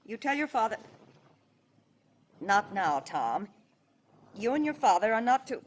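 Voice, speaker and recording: Haughty middle-aged American wife, wide swings in pitch, impatient, scornful, vintage radio drama. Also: female voice, very animated, unhurried, a little hiss or hum. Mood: flustered, urgent. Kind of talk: 1940s-50s American radio drama acting.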